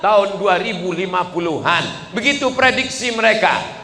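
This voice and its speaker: A man in his forties giving a lively sermon to a crowd, asking rhetorical questions and swinging between loud and hushed delivery. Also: high-pitched, normal speed. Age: 40s. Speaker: preacher. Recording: echoey hall with faint ambience.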